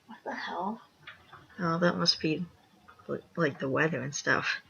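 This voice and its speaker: A young woman with a raspy voice, reading a horror fan story aloud, rambling and apologetic with chatty asides. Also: low-pitched, expressive, unhurried, a bit bright, a little hiss or hum. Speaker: story reader. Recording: lo-fi dull recording.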